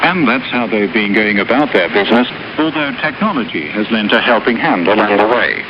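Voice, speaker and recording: A man's voice: fairly low pitch, lively intonation, brisk. A man speaking formally as a radio documentary narrator and interviewer. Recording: noisy, muffled old radio recording.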